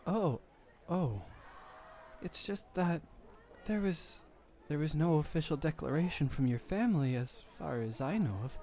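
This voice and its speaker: Man playing a bold, higher-voiced courtly warrior. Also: expressive, measured pace, warm tone. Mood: taken aback. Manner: polite and courtly, taunting toward his rival. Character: bold, smug, courtly male warrior suitor. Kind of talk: romantic audio roleplay acting.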